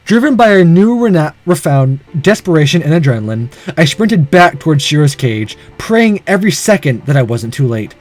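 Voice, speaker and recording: Animated man narrating a visual novel. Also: a little high, moderate pace, few pauses, soft background music. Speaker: channel host reading a visual novel aloud. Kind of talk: dramatic first-person narration.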